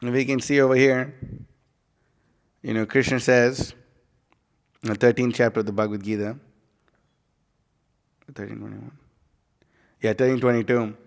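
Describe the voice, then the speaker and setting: A man's voice: medium pitch, level tone, normal speed, creaky, long pauses. Man giving a rambling casual scripture class. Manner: casual, rambling, many fillers like "you know" and "like". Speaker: class speaker. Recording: live stream in a reverberant hall.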